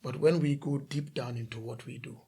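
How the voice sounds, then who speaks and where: Gravelly, husky male pastor preaching. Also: mid-range voice, steady tone, normal speed, warm tone. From The Gambia. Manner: emphatic preaching, rhetorical questions, lists of repeated phrases. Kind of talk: sermon.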